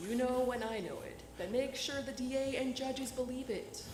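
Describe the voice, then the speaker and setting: A woman's voice: sits high, normal speed, a little mellow, natural voice. A woman playing a world-weary, blunt police detective in a live radio play, sardonic and brusque. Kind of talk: live radio theatre acting. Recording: echoey live stage recording, faint noise.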